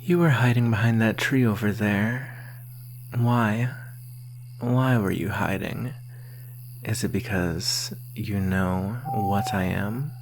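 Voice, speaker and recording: Centuries-old vampire ASMR actor in role: deep-set, breathy, whispery, predatory and teasing, very drawn-out delivery, faint hum behind. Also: fairly even intonation. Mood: seductive menace. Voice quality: breathy, often dropping to whisper, creaky. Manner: slow, teasing, predatory drawl. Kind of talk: ASMR roleplay, slow close monologue.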